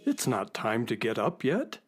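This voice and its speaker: A man's voice: medium pitch, huge pitch swings, a little slow. A man voicing a sleepy, grumbling space horse sidekick in a children's radio play. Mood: grumpy. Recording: guitar music playing under the voice.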